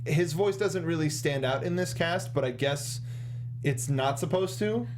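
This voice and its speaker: A young man co-hosting a casual film discussion, talking in a halting, rambling way and rephrasing as he goes, close on a studio mic. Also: fairly high pitch, background noise. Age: young adult. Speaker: co-host of a film discussion show. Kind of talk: casual review chat. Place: small indoor studio. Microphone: close studio desk microphone with foam cover, headphones.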